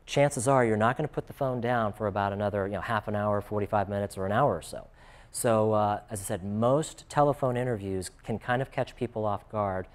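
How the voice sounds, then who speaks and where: A man's voice: lowish voice, a little fast. An American man speaking as a job coach in an informal, conversational and explanatory way, often dropping in "you know". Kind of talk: informal instructional talk.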